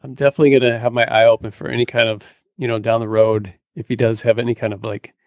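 A man's voice: medium pitch, level tone, fast talker, dry recording. A middle-aged man co-hosting a podcast, chatting in a laid-back, colloquial way into a close mic. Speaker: podcast co-host. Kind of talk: casual chat. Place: small cluttered home room. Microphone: close studio mic on boom arm.

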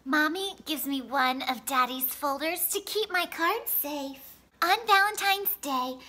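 A woman in her thirties reading a children's storybook aloud in an expressive, animated way, phrased for young listeners. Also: sits high. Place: living room at home, indoors.

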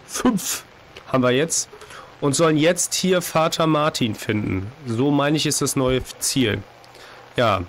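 A hoarse man in his thirties with a cold, casually commenting on a horror game at a desk microphone, reacting jumpily to scares. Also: medium pitch, a little slow, a little hiss or hum. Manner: chatty, jumpy reactions to scares. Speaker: let's play host. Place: home streaming room, indoors. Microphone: desk microphone, headset on.

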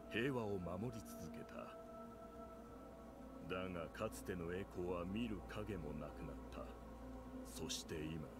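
An actor playing a grave storyteller who narrates a dark fantasy game cinematic in a solemn, measured way. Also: male voice, low-pitched. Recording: music under the voice.

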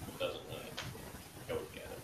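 A man giving a halting, filler-heavy opinion on a story in casual chat. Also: echoey room, recorded from a distance, background noise. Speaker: guest reviewer.

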